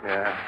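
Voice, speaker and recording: A man's voice: on the high side, exaggerated voice. An actor playing a weary, skeptical, plain-spoken first mate telling his tale in a 1940s radio mystery. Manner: plain-spoken, slangy storytelling. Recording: muffled 1940s radio recording.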